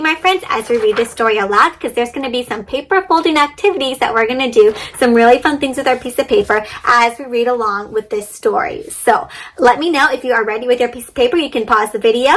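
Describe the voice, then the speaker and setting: Casting: woman, high voice, children's read-aloud host, expressive, encouraging, talks straight to the kids. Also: a little hiss or hum. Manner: expressive, encouraging, addresses young viewers directly with questions. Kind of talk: interactive children's story read-aloud with craft instructions.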